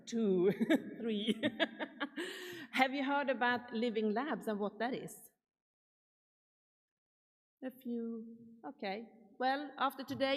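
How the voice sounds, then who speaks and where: A woman from Sweden with a Swedish accent, giving a chatty conference keynote and asking the audience questions. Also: a little high. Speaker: keynote speaker. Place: large conference hall. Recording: reverberant hall.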